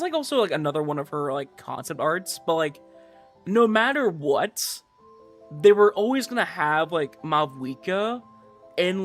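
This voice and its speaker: A man doing casual livestream commentary over a game, chatting with viewers in an animated, exasperated, repetitive rant. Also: fairly high pitch. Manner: animated ranting, exasperated, swearing, repetitive emphasis.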